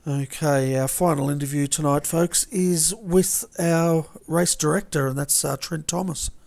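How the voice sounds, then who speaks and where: An Australian man hosting an online racing broadcast, hoarse and croaky, talking in a relaxed, friendly way. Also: a little high, measured pace. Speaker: host. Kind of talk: casual broadcast chat.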